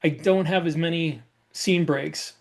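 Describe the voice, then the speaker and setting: Male co-host, slightly high-pitched, informal conversational explainer for a writing podcast. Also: unhurried, a little mellow. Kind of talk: casual chat about craft.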